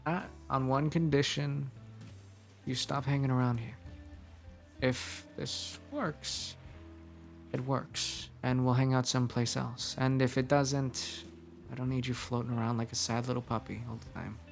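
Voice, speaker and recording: Guy putting on a tired gruff tough guy, gravelly voice, blunt and jokey-menacing, faint music behind. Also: mid-range voice, level tone, measured pace. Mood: gruff teasing. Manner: world-weary, blunt, mock-threatening. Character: weary, gruff man, jaded and teasingly menacing. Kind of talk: audio roleplay.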